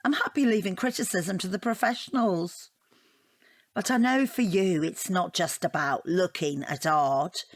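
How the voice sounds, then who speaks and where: A woman's voice: on the low side, unhurried, no room sound, clean studio sound. An actress playing a rambling, earnest, self-deprecating divorced woman in a naturalistic audio drama. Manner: rambling, earnest, easily flustered. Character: chatty, self-deprecating divorced woman taking an art appreciation class.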